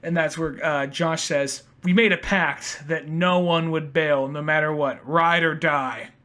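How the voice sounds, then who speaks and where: Young adult man, sharp bright tone, casual read-along podcaster mixing dramatic line readings with loose chat. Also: on the high side, steady tone, measured pace. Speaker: podcast co-host.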